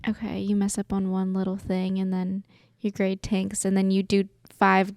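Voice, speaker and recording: Flat, creaky young woman rambling. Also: medium pitch, moderate pace, no echo, studio quality. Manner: rambling, heavy use of "like". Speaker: podcast co-host. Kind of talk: casual chat.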